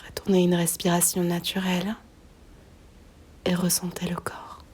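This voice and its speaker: A woman guiding a slow meditation in a soft, breathy near-whisper, gently giving unhurried instructions with long pauses. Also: on the low side, completely flat delivery, slight background noise. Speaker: yoga nidra guide.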